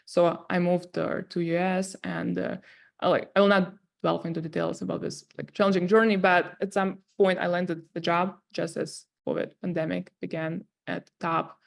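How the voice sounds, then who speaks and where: A woman's voice: on the low side, flat delivery, moderate pace, gravelly. A woman with a strong Russian accent, a guest in an interview, telling her story in earnest with frequent fillers. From southern Russia. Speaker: guest, tech company CEO.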